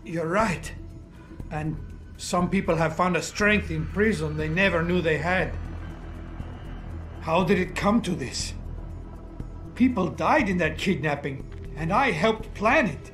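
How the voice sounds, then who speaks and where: Casting: broken, proud activist in a game drama, high-pitched and forceful, formal diction, bitter and remorseful. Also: male voice, unhurried, pauses often, soft background music. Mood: remorseful, despairing. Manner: formal, eloquent, emotionally wrought. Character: despairing, guilt-ridden disgraced male activist, bitter and proud. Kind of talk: dramatic video game voice acting.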